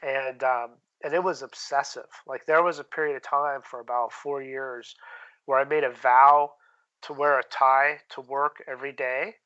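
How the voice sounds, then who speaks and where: A man talking casually as an interview guest, candid and laid-back, with plenty of slang, on low-quality audio. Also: fairly even intonation, moderate pace, pushed and bright, clean tone. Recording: dull lo-fi call-quality audio.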